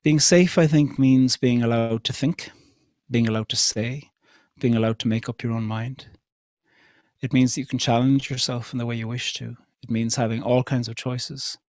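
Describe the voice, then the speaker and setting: A man's voice: mid-range voice, steady tone, no room sound. Reflective Irish man, dry close sound. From Ireland. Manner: measured, thoughtful, many rhetorical questions and soft hesitations. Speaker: speaker at an interfaith event. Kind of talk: reflective public talk.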